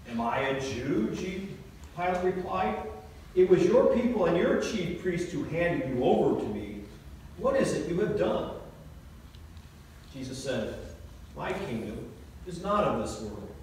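A middle-aged man speaks as a pastor from the pulpit, heard from some way off. The church rings heavily around his somewhat high voice, with faint noise underneath. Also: a little mellow, very echoey, recorded from a distance, rough recording. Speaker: Lutheran pastor. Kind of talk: gospel reading and sermon.

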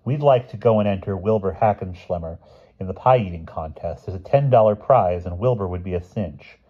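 A man's voice: low voice, normal speed, slightly rough, phone-quality sound. A man voicing a brash, undaunted schoolboy in a children's storybook, eagerly persuasive and respectful. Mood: eager pitching.

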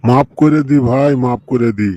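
Man playing a mellow, humbled rickshaw puller. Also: medium pitch, moderate pace, no echo, highs cut off, natural voice. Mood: remorseful. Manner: pleading, abject. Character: ruined older brother, humbled begging rickshaw puller. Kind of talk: amateur cartoon dialogue.

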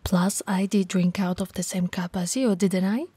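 A woman playing a tall, cool, flirty shop owner in a soft, breathy, intimate ASMR roleplay. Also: mid-range voice, fairly even intonation, normal speed, no echo. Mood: intimate, coaxing. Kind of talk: ASMR romantic roleplay acting. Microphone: close mic, dry.

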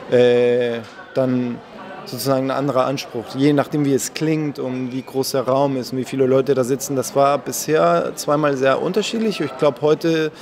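Argentine-born man, calm and measured. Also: mid-range voice. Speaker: conductor, festival guest. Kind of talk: interview answers. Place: indoor room at a festival. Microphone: handheld mic held by interviewer.

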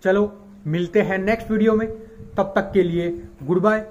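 High-voiced young man vlogging outdoors. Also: fairly even intonation, a little mellow, a little hiss or hum. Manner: chatty, explains with many pauses. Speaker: dairy farmer and vlogger. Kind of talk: casual farm vlog. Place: outdoors at an open cattle shed on a farm. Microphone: phone at arm's length.

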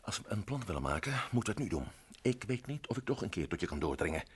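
An actor playing a wily, talkative middle-aged friend of a general in an old radio play, chatty and wry. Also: male voice, lowish voice, very animated, fast, husky, exaggerated voice. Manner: wry, chatty, full of little "hè?" tags. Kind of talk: 1960s radio play acting.

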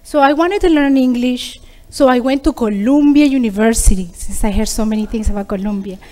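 A woman's voice: fairly high pitch, brisk, mellow. A middle-aged woman from Peru, giving an earnest prepared speech as a program graduate, with small restarts. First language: Spanish.

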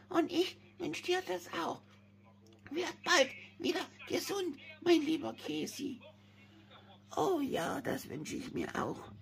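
A woman's voice: high-pitched, vocal fry, slight background noise, exaggerated voice. A woman voicing a sweet, sniffly cheese worm puppet, coughing in a homemade puppet play. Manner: singsong, swooping, cosy. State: has a cold, coughing.

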